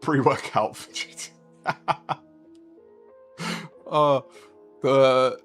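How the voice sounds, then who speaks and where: A man's voice: mid-range voice, steady tone, extremely slow, fairly bright tone, smooth, faint music behind. A man in his thirties streaming a racing game live, chatting casually and rambling with a laugh now and then. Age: 30s. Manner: chatty and rambling, laughs often, talks to chat.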